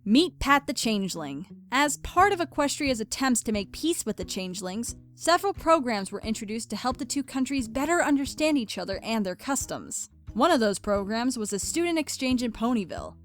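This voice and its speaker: A woman's voice: a little high, big pitch swings, a little fast, hardly any pauses, soft background music. A woman reading scripted story narration.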